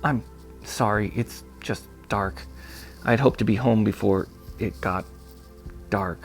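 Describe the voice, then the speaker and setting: A man's voice: fairly low pitch, takes it slowly, gravelly, faint music behind. A man voicing a polite, curious and somewhat nervous young lady in an audiobook, with a hesitant manner. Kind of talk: audiobook character voice.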